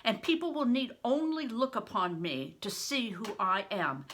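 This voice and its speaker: A woman's voice: fairly high pitch, a little slow, a bit bright, pitched above the performer's own voice. An older woman reading aloud as a defiant young peasant boy, speaking earnestly and firmly.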